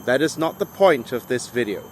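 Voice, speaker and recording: A man delivering an argumentative monologue to viewers in an emphatic, deliberate way, with rhetorical questions. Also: medium pitch, very animated, a little hiss or hum. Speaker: video essayist and English tutor.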